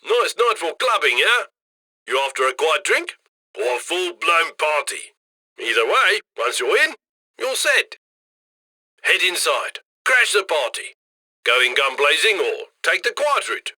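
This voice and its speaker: Cocky heist boss for a video game, higher voice, super bright n punchy, slick chatty mission orders. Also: male voice, sing-song, a little slow, no echo, clean studio sound, heavily acted. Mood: playful, inviting. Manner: slick, conversational, egging the crew on. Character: cocky, upbeat male criminal heist handler. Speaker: heist handler giving mission directions.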